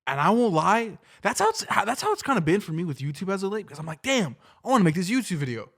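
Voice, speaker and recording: A man's voice: sits high, fast talker, gravelly. Rambling young man, cursing casually. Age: young adult. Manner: rambling, swears often, animated. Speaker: podcast co-host. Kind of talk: casual chat.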